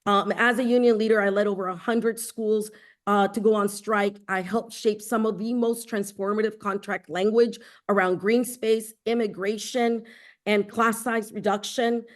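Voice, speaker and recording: Middle-aged female candidate introducing herself in a campaign speech, average pitch. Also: fairly even intonation, moderate pace. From born in El Salvador, raised in Los Angeles. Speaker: school board candidate, teacher and union leader.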